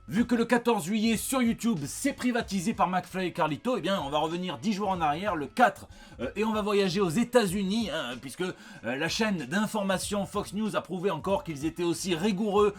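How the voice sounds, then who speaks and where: A man with a French accent hosting a news review show in a wry, chatty way, mixing scripted reporting with joking asides. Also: high-pitched. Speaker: host of a comic book news show.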